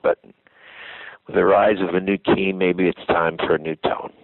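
A sick man with a gravelly voice calling in to a radio show, earnest and heartfelt with many fillers. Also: sits low, level tone, normal speed, no room sound. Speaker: caller. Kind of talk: phone-in call. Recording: tinny phone line.

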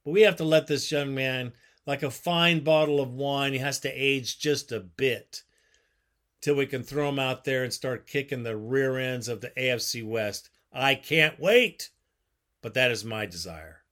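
Bright, folksy man hosting call-ins. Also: mid-range voice, a little slow. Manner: folksy, emphatic. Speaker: radio show host. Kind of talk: call-in sports talk.

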